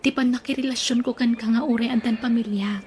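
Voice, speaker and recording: A woman acting in an Ilocano audio drama, speaking gently and reassuringly. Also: on the high side, fairly even intonation, fast talker, slight background noise.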